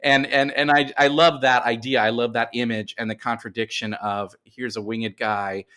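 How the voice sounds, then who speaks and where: A man speaking as a writer guest in a casual interview, explaining his creative process in a halting way with repeated self-corrections. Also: medium pitch, flat delivery, pushed and bright, clean tone. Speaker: podcast guest, writer of comics and screenplays.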